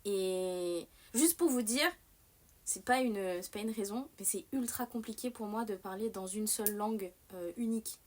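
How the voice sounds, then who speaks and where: Chatty, animated young woman streamer. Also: fairly high pitch. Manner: rambling, many fillers and restarts; animated, self-mocking. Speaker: livestream host. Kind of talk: casual livestream chat.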